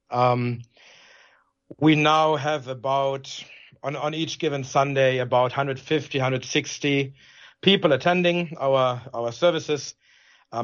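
A man's voice: mid-range voice, highs cut off. A man from Germany, a native German speaker with a German accent, answering interview questions as a pastor in an earnest, explanatory way.

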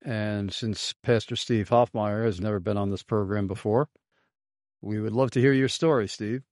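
Low-voiced measured radio host. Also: male voice, fairly even intonation, a little mellow, no room sound, clean studio sound. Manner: measured, slow, with fillers.

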